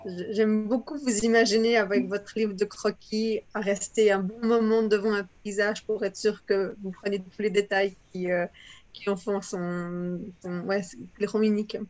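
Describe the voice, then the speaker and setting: A woman's voice: medium pitch, little pitch movement, normal speed, a little mellow, highs cut off. A woman interviewer speaking conversationally, with an appreciative, welcoming manner. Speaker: interviewer, co-host.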